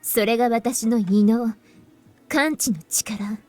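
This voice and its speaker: A woman voicing a composed, serious young heroine in a visual novel, speaking in a measured, explanatory way. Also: on the high side, mellow, natural voice. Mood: serious, explaining. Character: composed young woman revealing grave secrets, anime game heroine. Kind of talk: Japanese visual novel voice acting. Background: game music.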